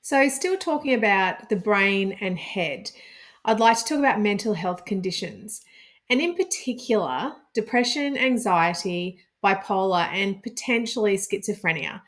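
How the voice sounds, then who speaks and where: A woman giving an explanatory health talk, calm and clear, stressing key points as she teaches. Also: medium pitch, a little slow. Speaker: health educator.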